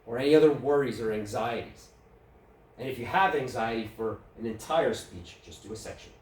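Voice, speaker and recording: A man with a New York accent runs a speaking workshop, relaxed and conversational, often turning questions to his listeners. He sounds some way from the mic, in an echoey meeting room with faint background noise. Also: medium pitch, fairly quick, distant mic. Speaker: workshop presenter.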